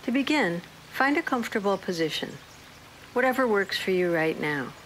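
A woman leading a guided meditation in a slow, soothing, gentle manner with unhurried pauses. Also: mid-range voice, expressive, a little hiss or hum, poor audio.

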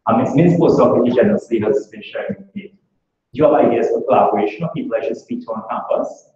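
A man with a Trinidadian accent gives an academic talk at a rapid clip, posing questions to his audience as he explains. His voice sits in a middle register. The room is echoey and the recording sounds somewhat muffled. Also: medium pitch, animated, dark tone, a little hiss or hum. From Trinidad and Tobago. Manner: brisk, earnest, explaining with rhetorical questions. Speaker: academic speaker. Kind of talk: conference talk. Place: lecture room.

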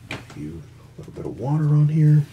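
A man in his thirties doing a soothing ASMR roleplay in a soft, breathy whisper and murmur, gentle and reassuring. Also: a little high, sing-song, a little fast, narrow, tinny audio, slight background noise. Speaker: ASMR performer as hairdresser. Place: small indoor room set up as a salon.

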